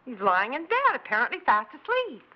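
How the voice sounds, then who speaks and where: An actress playing an anxious, easily indignant suburban wife in a 1960s radio drama. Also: female voice, high voice, wildly swooping pitch, brisk. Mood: frightened. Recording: dull 1960s radio recording.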